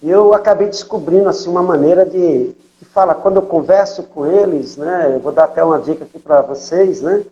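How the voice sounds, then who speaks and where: A man's voice: on the high side, fairly quick, hardly any pauses, dark tone, highs cut off. An older man from São Paulo with a São Paulo accent, speaking as a missionary and telling stories in an interview, leaning on fillers and tag questions. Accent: São Paulo Portuguese. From São Paulo, Brazil. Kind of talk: conversational testimony interview.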